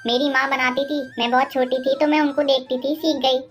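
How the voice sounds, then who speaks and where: Cartoon villain mother-in-law, played by a woman: middle-aged, very high voice, bossy, scolding, scheming. Faint music underneath. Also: flat delivery, fairly quick, natural voice. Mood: curious, chatty. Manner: bossy, scolding, name-calling. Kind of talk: animated moral-story dialogue.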